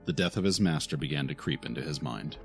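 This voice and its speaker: A man narrating a scripted fantasy audio drama in a solemn, deliberate way. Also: a bass voice, lively intonation. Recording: faint music behind the voice.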